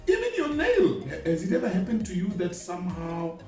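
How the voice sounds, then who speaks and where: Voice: medium pitch, wildly swooping pitch, a little fast, mellow. An adult speaker playing a friendly, encouraging mentor who explains things informally and emphatically with homely examples, in a dramatized conversation. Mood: amused, instructive. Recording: echoey room, distant mic, faint music behind.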